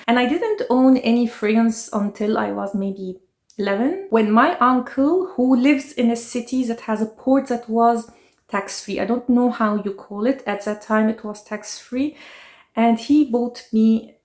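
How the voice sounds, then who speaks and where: A woman's voice: on the high side, a little mellow. Woman, forties, from Egypt, chatty vlog host, reminiscing, echoey room. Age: 40s. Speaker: fragrance channel host.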